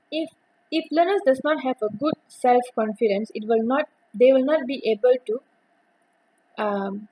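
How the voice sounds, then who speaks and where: Husky-voiced woman reading a presentation. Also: on the high side, a little mellow, rough recording. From Malaysia. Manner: reads steadily, with occasional restarts on words. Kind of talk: reading a prepared academic presentation.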